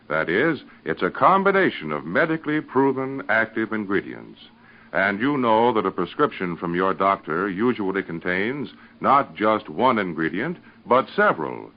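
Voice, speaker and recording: An American man reading scripted narration and advertising as an old-time radio serial announcer, with emphatic, deliberate enunciation. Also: fairly low pitch. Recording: dull, band-limited vintage radio recording.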